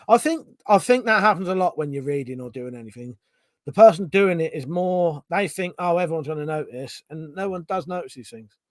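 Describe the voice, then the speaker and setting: Middle-aged male streamer, casual and sweary, animated pitch, dry close mic. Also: no room sound. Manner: chatty, swears casually, restarts sentences. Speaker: streamer reading chat. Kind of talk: casual live-stream chat.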